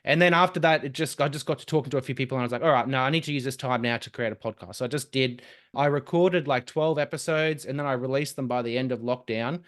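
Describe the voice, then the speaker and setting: A young man, a podcast guest chatting casually and telling lively stories. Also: medium pitch. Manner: lively, quick storytelling with laughs and restarts. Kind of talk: casual conversation.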